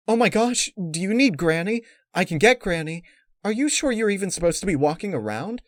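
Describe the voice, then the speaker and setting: A man's voice: high voice, sing-song, fairly quick, no room sound, clean studio sound, voice pushed up. A man voicing an earnest, bookish young mare, a reassuring friend, in a story reading. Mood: alarmed.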